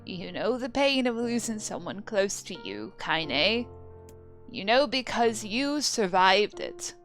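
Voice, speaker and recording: Woman doing a tough old granny, slow gruff talk, loud and rough. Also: mid-range voice, sing-song, faint music behind. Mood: stern tender. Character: old village grandmother, fierce and protective.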